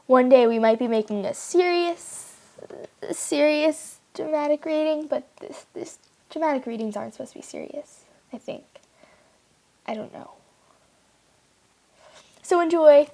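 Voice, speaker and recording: A woman's voice: high-pitched, unhurried, gravelly, long pauses, a little mellow. A young woman chatting casually to online viewers in a giggly, rambling, informal way. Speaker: amateur fan fiction writer and reader.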